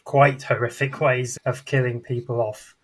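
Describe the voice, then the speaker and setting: A man's voice: medium pitch, level tone, moderate pace. A man in casual, explanatory chat as a podcast panellist, passing on bits of trivia and anecdote. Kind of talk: casual explanatory chat about games and films.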